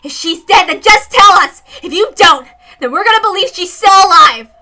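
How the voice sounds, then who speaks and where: A woman voicing a fierce, forceful young girl in a story, loud, urgent and demanding. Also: very high-pitched, expressive, moderate pace, pitched above the performer's own voice, exaggerated voice.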